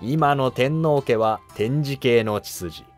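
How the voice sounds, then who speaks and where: An actor playing a humble, cautious monk who advises an emperor in formal, deferential court speech in a historical drama. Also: male voice, mid-range voice, slow, soft background music, close to an everyday voice. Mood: calm, advising. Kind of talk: Japanese historical drama dialogue.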